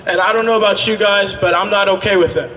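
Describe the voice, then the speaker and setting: A man's voice: high voice, steady tone, fast, echoey room, in a large hall, very noisy. A man giving an earnest, conversational speech at an outdoor rally, addressing the crowd directly. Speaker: rally speaker. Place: outdoor rally at city hall.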